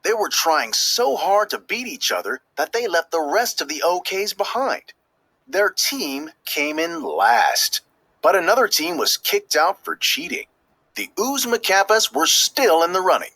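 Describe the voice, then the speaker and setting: Lively, bright-voiced man reading a children's storybook. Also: high voice, unhurried, gravelly, dry recording. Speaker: storybook reader. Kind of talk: scripted children's read-aloud narration.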